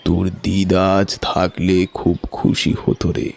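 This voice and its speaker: A man as a deep, gravelly village uncle. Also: monotone, slow, darkish tone, faint music behind, exaggerated voice. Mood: fond, reassuring. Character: kindly older village uncle, soft and knowing. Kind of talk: audio story drama.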